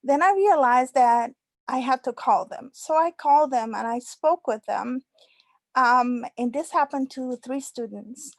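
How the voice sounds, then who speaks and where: A woman's voice: sits high, unhurried, no echo. Woman teacher in a dry, close sound. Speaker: high school history teacher. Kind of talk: online panel talk.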